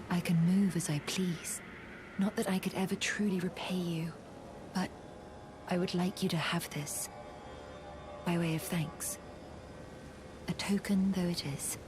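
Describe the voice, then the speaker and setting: Fantasy game role for an actress: ailing young warrior woman, grave and formal, breathy delivery at an unhurried pace, on the lower side. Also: noisy background, close to an everyday voice. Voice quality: soft, breathy, half-whispered. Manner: grave, formal, archaic phrasing. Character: frail, solemn young swordswoman afflicted by scarlet rot. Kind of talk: scripted fantasy game acting.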